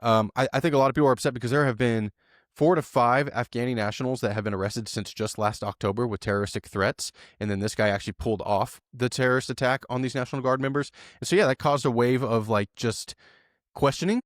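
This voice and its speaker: A man's voice: mid-range voice, fast talker, dry recording, clean studio sound. A man co-hosting a podcast, talking through the news casually with lots of fillers and tag questions. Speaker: podcast co-host.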